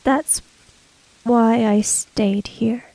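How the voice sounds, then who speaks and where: Woman as slow, guarded, stubborn samurai-era woman. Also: fairly high pitch, fairly even intonation, gravelly, warm tone, no echo, full character voice, poor audio. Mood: stubborn, sullen. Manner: terse, guarded, deliberate.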